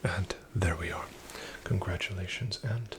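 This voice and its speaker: A man in a soft-spoken, whispered ASMR roleplay as a casino dealer, speaking in a polite, formal, deferential way. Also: sits low, a little slow, background noise.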